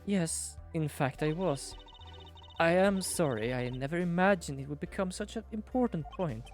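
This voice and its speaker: A man voicing a shy foreign woman witness who speaks softly and haltingly. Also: fairly high pitch, lively intonation, soft background music, natural voice. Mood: hesitant. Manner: soft, halting, apologetic.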